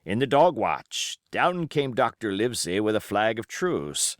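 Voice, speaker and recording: A sly old seafaring pirate played by a male reader, all cajoling sailor talk with menace under the geniality. The pitch swoops about freely and the pace is unhurried, as in a storybook told aloud. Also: sing-song, no room sound, studio quality. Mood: boastful, wry. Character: wily old male sea-cook pirate captain, genial yet menacing. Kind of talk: audiobook character acting.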